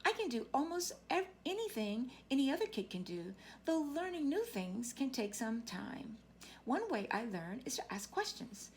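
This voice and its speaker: A woman reads a children's book aloud in a fairly high voice that rises and falls in a very lively way. She phrases deliberately, like a teacher addressing young listeners. There is faint noise behind her. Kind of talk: expressive children's read-aloud narration.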